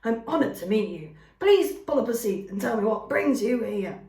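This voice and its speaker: A small, hospitable female skunk talks in a squeaky, excitable way, as a woman reads her in a storybook. Her voice sits high and bright. The sound has a roomy echo. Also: normal speed, lightly acted. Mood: warm.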